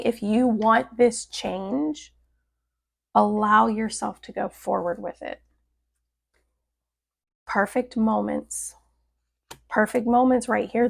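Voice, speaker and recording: A woman with a raspy, hoarse voice giving a casual tarot forecast, often adding "like" and "right?". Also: mid-range voice. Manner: chatty, often adds "like" and "right?". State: hoarse from a cold. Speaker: tarot reader.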